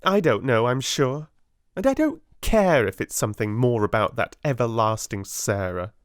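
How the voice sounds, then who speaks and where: A man's voice: mid-range voice, expressive, normal speed, no echo, clean studio sound, pitched above the performer's own voice, natural voice. A man voicing a spiteful, haughty schoolgirl in a storybook, with a scornful, snippy manner. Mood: irritable.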